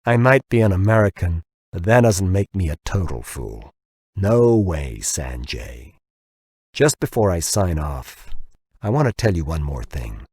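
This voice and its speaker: A man's voice: low-pitched, sing-song, unhurried, a little mellow, slightly rough, dry recording, studio quality, heavily acted. A man voicing a bitter, ranting American man who taunts and tumbles over his words in an audiobook. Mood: triumphant, bitter. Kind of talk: audiobook character dialogue.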